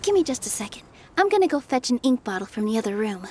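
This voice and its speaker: A gentle earnest young dancer. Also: female voice, on the high side, big pitch swings, fast, slight background noise. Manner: soft, earnest, encouraging. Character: gentle hopeful young female dancer-mage. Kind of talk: video game cutscene dubbing. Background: faint game music.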